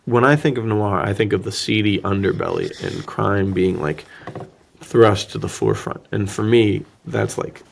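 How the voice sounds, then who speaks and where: A man co-hosting a podcast in casual film discussion, joking, with a gravelly, husky voice. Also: on the low side, normal speed, slight background noise. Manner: joking, laughs easily. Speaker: podcast co-host.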